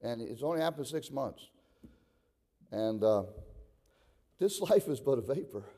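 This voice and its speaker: A man's voice: mid-range voice, expressive, unhurried, warm tone, husky. A man in his sixties preaching a sermon, moving between conversational talk and emphatic exhortation, repeating phrases for emphasis. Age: 60s. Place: large reverberant room, preaching to a congregation. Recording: reverberant hall sound.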